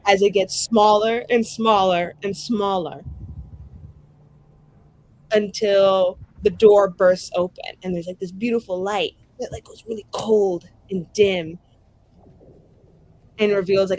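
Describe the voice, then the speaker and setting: Female tabletop roleplayer, mid pitch, casual and theatrical storytelling, slight background noise. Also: fairly even intonation, a little slow, darkish tone, rough recording. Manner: dramatic, profane, colloquial with many "like"s.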